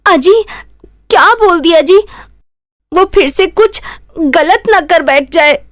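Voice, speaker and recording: Anxious, soft-natured village wife for a cartoon story female actor: extremely high voice, drawn-out pleas. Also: lively intonation, no room sound, clean studio sound, heavily acted. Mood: worried. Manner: pleading, worried. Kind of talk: Hindi cartoon fairy-tale dubbing.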